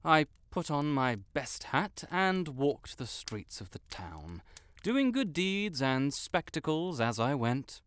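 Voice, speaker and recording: A man playing a vain, stuck-up young wizard with a secret soft spot, pompous and theatrical, in a roleplay audio drama. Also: fairly high pitch, very animated, takes it slowly, clean tone, exaggerated voice. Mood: boastful. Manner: pompous, theatrical, haughty, flustered outbursts. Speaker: voice actor.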